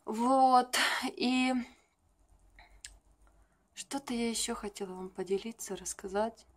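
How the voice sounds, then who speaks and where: A woman's voice: a little high, takes it slowly. A young adult woman talks in a casual, confiding vlog style, rambling through everyday stories to a camera at arm's length in a room at home. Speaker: vlogger, makeup artist. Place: small furnished room at home.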